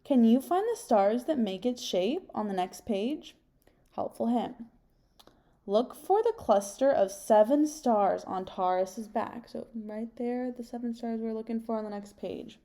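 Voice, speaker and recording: A young adult woman reading a picture book aloud like a teacher, pausing and putting questions to her young listeners. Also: on the high side, mellow. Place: home kitchen, indoors.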